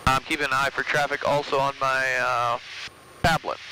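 A man flying a small plane, chatting casually to his viewers over the cockpit intercom, watchful and relaxed, with frequent "uh"s, and making his own radio calls. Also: mid-range voice, moderate pace. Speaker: private pilot vlogger. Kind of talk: in-flight vlog narration with radio calls. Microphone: aviation headset. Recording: noisy cockpit intercom with engine drone.

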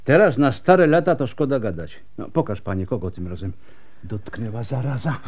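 An actor playing a weary, wry old doctor scarred by smallpox in a radio drama. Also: male voice, mid-range voice, expressive, a little mellow, slight background noise, close to an everyday voice. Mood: wry, resigned. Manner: wry, world-weary, unhurried.

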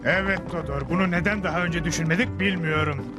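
A man's voice: fairly high pitch, fast, natural voice. A man playing a pompous, quarrelsome royal heir competing with his rival, in a Turkish cartoon dub. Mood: competitive. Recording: loud music behind the voice.

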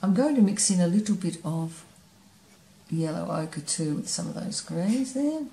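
A woman teaching painting, talking in a relaxed way as she paints and explaining each step. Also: on the low side, unhurried, creaky, roomy sound, slight background noise. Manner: relaxed, thinking aloud while painting. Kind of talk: painting demonstration narration. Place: studio table, camera overhead.

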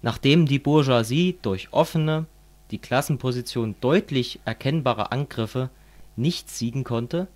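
A man's voice: mid-range voice, measured pace, darkish tone, close to an everyday voice. A man playing a fervent communist who lectures a friend in a didactic, declamatory way, as in an amateur radio play.

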